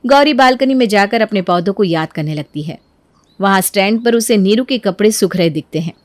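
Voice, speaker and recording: A woman's voice: medium pitch, animated, brisk, no room sound. A woman reading scripted narration for a cartoon family story.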